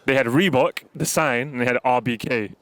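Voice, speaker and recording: A man's voice: medium pitch, normal speed, fairly bright tone, dry recording, low-quality recording. A man with a London accent co-hosting a casual football chat, bantering with plenty of slang. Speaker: football show co-host.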